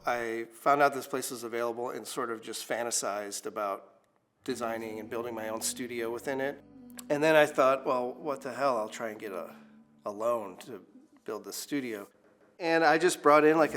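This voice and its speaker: A man in his forties, a studio owner, speaks in a relaxed documentary interview. His talk wanders, full of fillers and restarts, and faint music plays quietly beneath it. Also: medium pitch. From United States.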